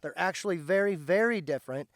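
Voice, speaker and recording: A slightly hoarse man, patiently explaining step by step as he teaches plant identification outdoors. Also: high voice, expressive, fast talker, no room sound. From central Indiana, USA. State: slightly hoarse, recovering from lost voice. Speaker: host, foraging teacher. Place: outdoors by a driveway.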